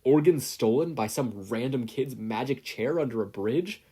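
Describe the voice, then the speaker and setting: A man's voice: medium pitch, lively intonation, moderate pace, dark tone, husky. A man voicing a desperate middle-aged retired hero in an urgent, indignant manner, reading a story aloud. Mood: grim.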